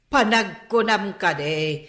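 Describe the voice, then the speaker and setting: Worried mother, slow expressive delivery, exclaiming and sighing, radio drama, roomy echo. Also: medium pitch, faint music behind. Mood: scolding, disbelieving. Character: worried mother, gossiping and troubled about her child. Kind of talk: Filipino radio drama acting.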